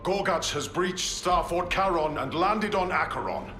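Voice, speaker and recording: An actor playing an honorable, stern space marine commander who speaks formally and gravely. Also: male voice, high-pitched, unhurried, bright, forceful tone, a little hiss or hum. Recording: echoey game audio with music.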